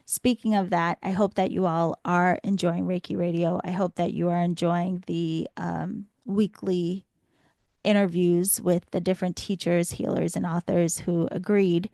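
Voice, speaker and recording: Female spiritual teacher for podcast monologue: lowish, gravelly, slightly hoarse voice, reflective and instructive. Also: flat delivery, a little slow, warm tone, no echo, clean studio sound. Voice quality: slightly scratchy. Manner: reflective, instructive, posing rhetorical questions. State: slightly hoarse from much talking.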